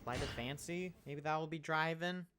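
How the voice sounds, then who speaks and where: A man's voice: a little high, moderate pace, noisy background. A man streaming a video game, thinking aloud with eager casual commentary over game music.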